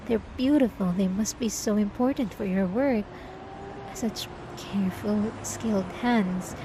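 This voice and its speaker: A woman playing a sweet, devout, obsessive young church girl in an ASMR roleplay, speaking haltingly with apologetic, feigned innocence. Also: mid-range voice, a little mellow, slight background noise, close to an everyday voice, low-quality recording. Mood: flustered, fawning. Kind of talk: ASMR roleplay drama, addressed to the listener.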